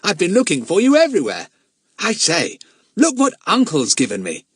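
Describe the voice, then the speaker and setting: A man's voice: sits high, wildly swooping pitch, moderate pace, husky, dry recording, studio quality, pitched above the performer's own voice, heavily acted. A man voicing a cheery boy who chatters on in a storybook reading. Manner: bright, chattering.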